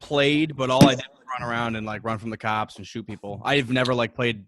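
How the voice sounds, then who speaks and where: A man's voice: medium pitch, fairly even intonation, a bit bright, a little hiss or hum. A man co-hosting a casual podcast, chatting with friends and laughing easily. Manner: chuckly, quick to laugh. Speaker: podcast co-host. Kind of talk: casual chat among friends.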